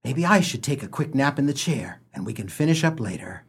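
Here is a man playing a hearty, harried husband in a comic radio play. His voice is husky and mid-pitched. He speaks emphatically, with wry, impulsive theatrical flair. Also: big pitch swings, brisk. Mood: weary.

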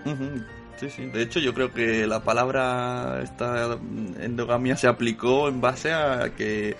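A man's voice: mid-range voice, fairly even intonation, a little slow, fairly bright tone, faint music behind. A man from Spain hosting a casual podcast round-table, laughing often and making joking asides. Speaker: podcast host.

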